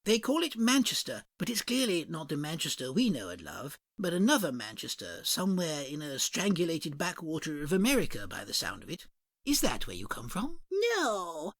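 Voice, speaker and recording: A woman's voice: low voice, huge pitch swings, moderate pace, dry recording, full character voice. A woman voicing a chirpy beaver shopkeeper. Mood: sing-song. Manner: sing-song, calls everyone dearie.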